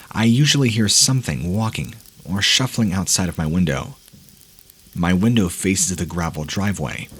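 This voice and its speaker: Husky low-voiced man narrating horror. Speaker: narrator reading listener stories.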